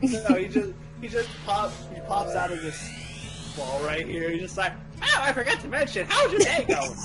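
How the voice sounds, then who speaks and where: A man's voice: high-pitched, very animated, moderate pace, over loud music, rough recording. A man chatting casually over a video game in a playful, joking way. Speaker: co-commentator on a game playthrough. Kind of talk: casual gameplay chat.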